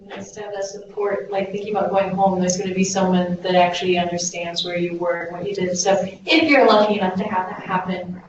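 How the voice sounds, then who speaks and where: A woman's voice: fairly low pitch, flat delivery, a little fast, hardly any pauses. A young woman chatting loosely and conversationally as a volunteer on a group call. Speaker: Peace Corps volunteer. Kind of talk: casual chat. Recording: distant, echoey, dull, hissy conference call.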